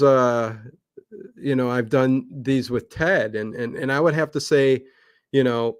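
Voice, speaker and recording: A man's voice: mid-range voice, smooth, no room sound, clean studio sound. Middle-aged man in rambling casual chat. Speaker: livestream show host being interviewed.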